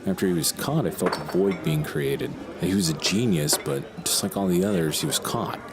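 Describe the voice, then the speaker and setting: An actor playing a jaded, egotistical horror writer in an audio drama, with a wordy, pompous, theatrical way of talking. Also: male voice, low-pitched, animated, moderate pace, noisy background. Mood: despairing.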